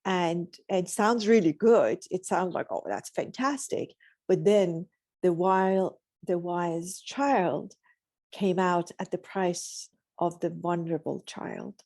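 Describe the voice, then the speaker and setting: A woman from Iran, telling her story reflectively in a measured, philosophical way as a guest. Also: lowish voice, darkish tone, no room sound. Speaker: podcast guest.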